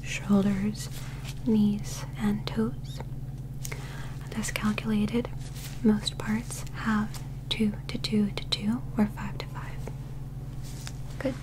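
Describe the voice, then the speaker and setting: A young woman doing a soft-spoken ASMR medical roleplay in a breathy whisper, gentle, soothing and reassuring, close to the microphone. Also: mid-range voice, flat delivery, slow, long pauses, low-quality recording. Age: young adult.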